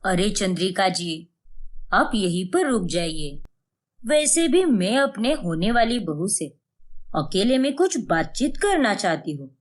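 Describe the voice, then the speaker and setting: Casting: woman playing rich traditional mother-in-law for a cartoon moral tale, lowered voice, polite but firm. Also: sing-song, a little slow. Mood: politely insistent. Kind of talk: acted animated moral story.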